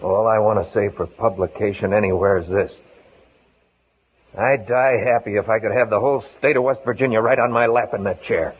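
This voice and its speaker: An actor playing a surly, defiant young death-row prisoner in curt 1950s radio acting style. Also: male voice, lowish voice, brisk, husky. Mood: bitter. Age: young adult. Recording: noisy, tinny 1950s radio broadcast.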